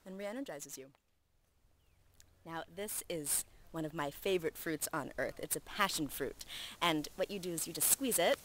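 A young woman with a somewhat low voice hosts a travel clip, talking upbeat and enthusiastic into a camera held at arm's length. She is outdoors on a forest hiking trail, with faint outdoor noise behind her. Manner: upbeat, enthusiastic, talks to a handheld camera while walking. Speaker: travel video host. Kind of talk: casual on-camera presenting. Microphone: handheld camera at arm's length.

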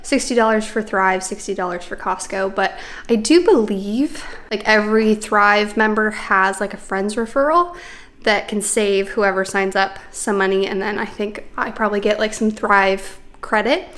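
A woman's voice: medium pitch, moderate pace, fairly bright tone. Chatty young woman vlogging a haul. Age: young adult. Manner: chatty and upbeat, many "like" and "um" fillers. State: pregnant. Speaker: lifestyle vlogger. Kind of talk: casual vlog chat showing purchases. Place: bright living room at home.

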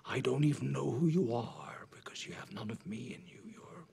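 An actor playing a bitter older father disowning his son, who alternates hissed whispers with sudden shouts. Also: a little high, big pitch swings, measured pace, vocal fry, exaggerated voice. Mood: furious.

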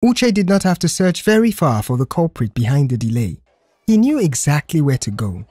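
A man's voice: on the high side, very animated, moderate pace, darkish tone, breathy edge, dry recording, clean studio sound. A man narrating a scripted audio story.

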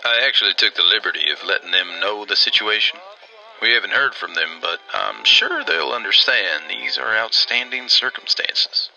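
Man playing a gravelly, guarded small-town railman. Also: on the low side, sing-song, moderate pace, pushed and bright, lo-fi sound, heavily acted. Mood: apologetic, matter-of-fact. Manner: dry, matter-of-fact. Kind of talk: old-time radio drama acting.

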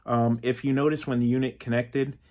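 A man's voice: medium pitch, flat delivery, fairly quick, darkish tone. Matter-of-fact man demoing gear in a garage. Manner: plain-spoken, matter-of-fact, with frequent ums and uhs. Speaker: motorcycle audio shop owner. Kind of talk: casual product demo and review. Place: garage workshop with a motorcycle. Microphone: phone camera at arm's length.

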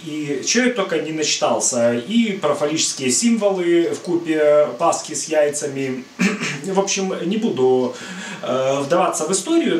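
A man's voice: on the high side, slight background noise. A middle-aged man from Ukraine hosting a cooking channel, explaining in a friendly, rambling way with many "uh" fillers, recorded in a home kitchen. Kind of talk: casual cooking talk to camera. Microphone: far camera. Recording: echoey kitchen, voice from a distance.

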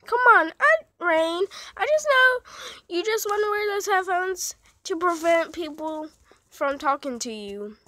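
A woman's voice: extremely high pitch, lively intonation, measured pace, sharp tone, dry recording, pitched above the performer's own voice, heavily acted. A woman reading out a male foster care worker in a story game, chatty and coaxing.

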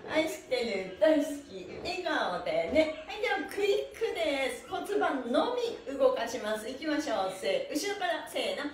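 A woman's voice: high-pitched, very animated, moderate pace, hardly any pauses, slight background noise. A middle-aged woman leading a live stretching class in a cheerful, encouraging, polite manner, recorded indoors from a few metres away. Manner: cheerful, encouraging, laughing often; polite, playfully adds honorifics to body parts. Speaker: stretching instructor, livestream host. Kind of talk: live exercise class with counted chants. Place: small bare-walled room with wooden floor, indoors. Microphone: camera mic a few metres away. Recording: echoey room, distant microphone.

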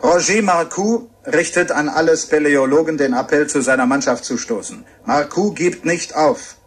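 Husky radio reporter. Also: male voice, fairly high pitch, moderate pace. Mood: earnest, dramatic. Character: earnest male radio reporter on location. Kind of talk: reporting, radio drama acting.

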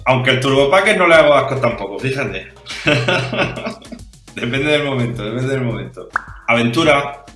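A man's voice: medium pitch, normal speed, reverberant, faint music behind. A man in his forties from the Murcia region, chatting casually and fondly about beaches over a video call with a headset. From Murcia region, Spain. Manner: relaxed, reminiscing, chatty. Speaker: interviewee. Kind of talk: casual personal chat. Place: small room indoors. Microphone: headset mic on a video call.

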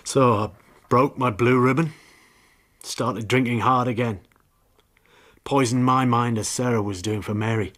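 A man's voice: mid-range voice, fairly even intonation, a little slow, long pauses, a bit bright. An actor in a radio drama playing a bitter, jealous, hard-drinking husband confessing to murder, brooding as he tells it. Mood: bitter confession. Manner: brooding, confessional storytelling that breaks into confrontation.

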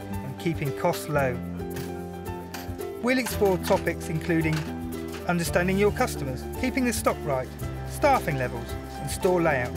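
Higher-voiced man narrating over music. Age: 30s. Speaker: programme manager and presenter. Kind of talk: scripted narration. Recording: music behind the voice.

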